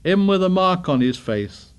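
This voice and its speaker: A man voicing an anxious, indignant chubby boy with glasses, speaking in with a high, strained, pleading voice. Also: expressive, moderate pace, smooth. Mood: frightened.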